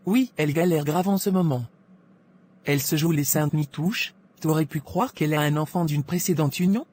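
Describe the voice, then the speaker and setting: A woman's voice: deep voice, moderate pace, darkish tone. A woman playing a gossipy, scheming pharmacy employee in a cartoon drama, insinuating and self-assured, with faint noise. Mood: smug. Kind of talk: animated moral drama dialogue.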